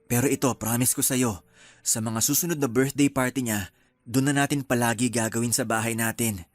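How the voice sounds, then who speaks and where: A man's voice: medium pitch, steady tone, normal speed, no echo, natural voice. A young Filipino man acting as an apologetic, hesitant young husband in a Tagalog radio drama. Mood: apologetic, placating. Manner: hesitant, placating. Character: apologetic, placating young husband, eager to appease wife.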